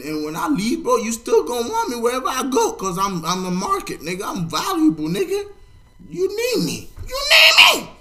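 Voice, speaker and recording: A young man in his twenties with a high, bright voice that swings wildly in pitch. He talks in loud, slangy bursts, breaking into shouts, then drops to a mutter. Recorded at a desk in a bedroom. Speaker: music reaction YouTuber. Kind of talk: music reaction vlog. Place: bedroom, indoors, gaming chair.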